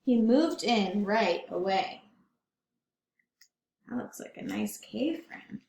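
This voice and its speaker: A woman teacher reading a picture book aloud to children in a gentle, slow way, stopping to ask them questions. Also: medium pitch, expressive, gravelly. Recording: roomy echo.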